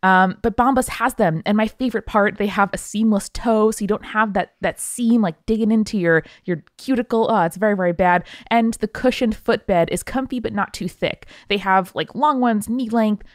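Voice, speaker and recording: A woman podcast host talks in an informal, effusive way at a middle pitch. Her voice is recorded dry, and she delivers ad reads as if chatting with a friend. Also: moderate pace, few pauses, dry recording. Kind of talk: casual chat and conversational host-read ads.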